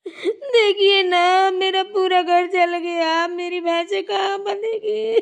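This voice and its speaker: A very high, gravelly, crying old village grandmother voiced by a woman pleads in a wailing, slow sing-song. Her voice barely rises or falls. The recording sounds dull and lo-fi. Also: steady tone, heavily acted. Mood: weeping.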